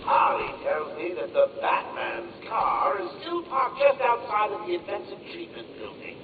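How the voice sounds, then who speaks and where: A man's voice: high voice, wildly swooping pitch, normal speed, roomy sound, phone-quality sound, background noise. An actor voicing a manic, cackling male clown villain in a video game, theatrical and cruel, swinging between sing-song glee and menace. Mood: gleeful.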